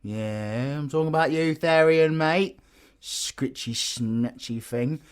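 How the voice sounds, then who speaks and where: A man's voice: fairly high pitch, slow, clear, resonant tone, pitched above the performer's own voice. A British man voicing a flirty, egotistical, caffeine-wired supervillain in an audio roleplay, boastful and cheeky. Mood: cheeky, persuading. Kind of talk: audio roleplay character acting.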